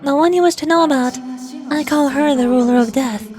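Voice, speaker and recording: Woman reading as a high-voiced, solemn ancient spirit ruler. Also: fairly quick, music in the background, close to an everyday voice. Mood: solemn, formal. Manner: formal, explanatory. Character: ancient, all-knowing, solemn supernatural ruler from a fantasy game. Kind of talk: reading game dialogue aloud.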